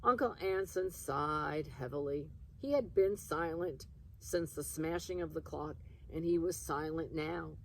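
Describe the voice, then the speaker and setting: A woman reading a children's story aloud in an expressive storytelling style. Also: low-pitched, a little slow, recorded from a distance, slight background noise, rough recording. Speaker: reader of a children's book.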